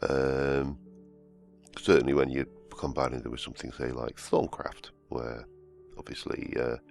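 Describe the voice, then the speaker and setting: A man, croaky from a cold, hosting casual gameplay commentary and rambling as he plays. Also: very deep voice, level tone, a little slow. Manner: rambling, self-correcting, humming to himself. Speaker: let's-play host. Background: soft background music.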